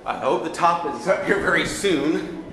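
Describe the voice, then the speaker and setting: A man with a slightly high voice gives casual, chuckling travel narration while climbing. The sound is very echoey, with long reverb off a narrow stairwell and clear background noise from his handheld camera. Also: normal speed, a bit bright. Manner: chatty, good-humored, chuckling; exerting himself climbing stairs while talking. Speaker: amateur vlogger filming a sightseeing climb. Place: inside a narrow brick lighthouse stairwell. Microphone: handheld camera held by the speaker.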